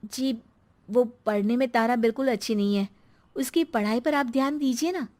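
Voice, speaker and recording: A woman's voice: fairly high pitch, normal speed, a little mellow, natural voice. A woman voicing a meek, long-suffering middle-aged mother and housewife in a cartoon story, hesitant and apologetic.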